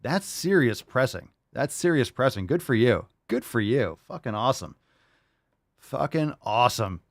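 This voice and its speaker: A man's voice: mid-range voice, expressive, moderate pace, no room sound, studio quality. A man hosting a fitness podcast, reading listener posts aloud and cheering them on in casual, profane, hyped-up chat. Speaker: podcast host.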